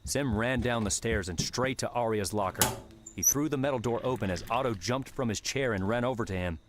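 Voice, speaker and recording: A man's voice: mid-range voice, fairly quick, a little hiss or hum. A man reading dramatic scripted fiction as an audiobook narrator.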